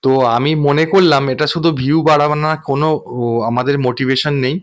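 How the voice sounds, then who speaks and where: A man's voice: a little high, fairly even intonation, a little fast. A man hosting a vlog, recounting a personal experience in an earnest, wordy, explanatory way. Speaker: vlogger and host.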